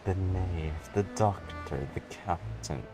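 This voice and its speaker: A man's voice: sits low, takes it slowly, over music. A man making casual banter while playing a video game. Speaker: game streamer's co-player.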